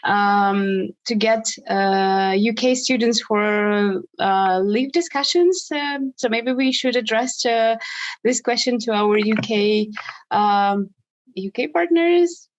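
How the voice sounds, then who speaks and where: Lady moderating a panel, lots of ums, kinda dull flat-sounding video call audio. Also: a little high, slow pace, dry recording. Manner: hesitant, with many ums and uhs. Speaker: webinar moderator.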